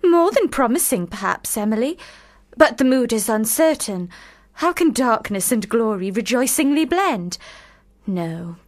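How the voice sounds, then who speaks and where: A fairly high-pitched young woman, the bossy eldest sister of a literary family in a radio play. She speaks in formal, precise sentences, earnest and quick to reprove. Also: very animated. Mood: grudging, critical. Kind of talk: radio drama acting.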